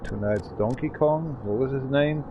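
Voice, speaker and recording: A man's voice: mid-range voice, moderate pace, muffled recording, background noise. A man in his thirties streaming a game, rambling and swearing in a frustrated way. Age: 30s. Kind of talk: casual gaming stream chat.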